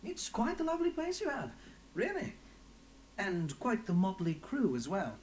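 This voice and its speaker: Lilting, curious game newcomer. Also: male voice, high-pitched, huge pitch swings, normal speed, darkish tone. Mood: curious, musing. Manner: courteous, musing. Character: curious, politely probing newcomer in a fantasy city. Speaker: game character. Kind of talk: scripted fantasy game dialogue. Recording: light background ambience and noise.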